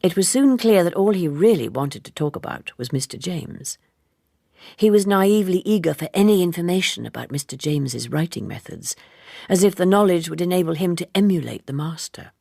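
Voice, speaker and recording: A woman reading literary fiction as an audiobook narrator, in a measured, articulate and wry way. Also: lowish voice, lively intonation, gravelly, dark tone, slightly rough, dry recording, clean studio sound. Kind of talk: expressive literary scripted narration.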